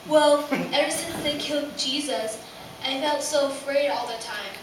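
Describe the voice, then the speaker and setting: A woman playing an anxious, sceptical male disciple in an amateur puppet show, speaking haltingly and earnestly. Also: high voice. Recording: very echoey, distant mic, noisy.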